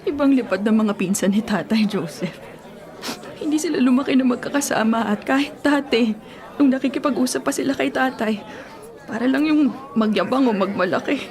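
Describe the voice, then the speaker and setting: An actress in a radio drama playing a grieving, anxious young nursing student who has been orphaned, in a plaintive, emotional way. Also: female voice, a little high, moderate pace, a little mellow, close to an everyday voice. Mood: bitter, hurt. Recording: music and crowd noise behind.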